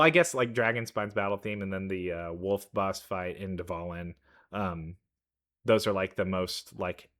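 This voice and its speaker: A man's voice: fairly low pitch, a bit bright. A man hosting a reaction video, chatting casually and enthusiastically as he thinks aloud. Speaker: reaction video host, gamer.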